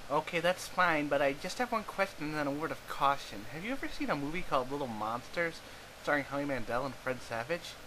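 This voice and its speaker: A man's voice: a little high, lively intonation, brisk, lightly acted. A man voicing an eager, goofy, teasing teenage boy in a webcomic chat, earnest and chatty. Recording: clearly noisy amateur home recording.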